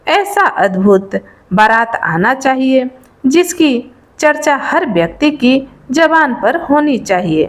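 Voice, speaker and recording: A woman narrating a scripted folk tale as a storyteller. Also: high voice.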